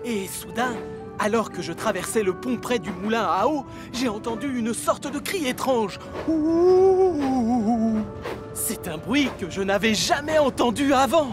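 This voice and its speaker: A woman voicing a timid young boy engine who talks anxiously and excitedly in a cartoon dub. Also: fairly high pitch, a little slow. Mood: scared. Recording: cartoon dub with loud music.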